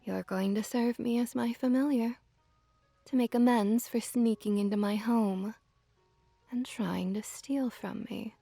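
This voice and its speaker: Casting: woman as seductive female fox witch for ASMR roleplay, high mellow voice, doting yet possessive, teasing coos and soft whispers. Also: steady tone, no room sound. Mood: gentle, possessive. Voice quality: soft, breathy whispering at times. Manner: slow, teasing, cooing, gently dominant. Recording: background music behind close, dry voice.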